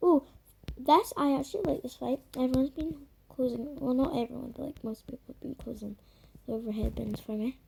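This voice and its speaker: A woman chatting loosely while playing a game, her voice croaky, full of fillers and trailing murmurs. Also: sits high, steady tone, fairly quick, dark tone, a little hiss or hum. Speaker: gamer. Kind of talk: casual gameplay commentary.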